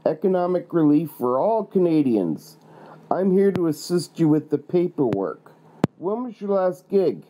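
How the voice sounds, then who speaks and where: A man's voice: a little high, big pitch swings, unhurried, warm tone, slight background noise, poor audio. A man playing a laid-back, out-of-work stage performer who now staffs a help line.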